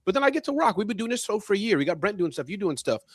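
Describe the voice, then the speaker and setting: Fast-talking man in his fifties, dry close sound. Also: high-pitched, very animated, no room sound. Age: 50s. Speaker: panelist on a small business show. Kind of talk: casual chat.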